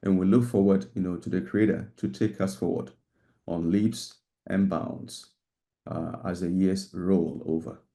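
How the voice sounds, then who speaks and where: A man sharing sincerely and at a measured pace at an online spiritual gathering. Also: low-pitched, fairly even intonation, vocal fry, mellow. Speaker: community member sharing.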